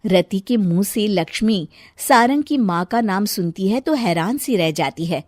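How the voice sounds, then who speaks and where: A woman narrating a scripted story in an expressive storyteller's manner. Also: mid-range voice, unhurried, dry recording, clean studio sound.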